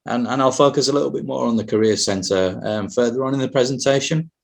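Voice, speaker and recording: A middle-aged man, a university recruitment manager, giving a measured, explanatory webinar presentation over a video call microphone. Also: medium pitch, creaky.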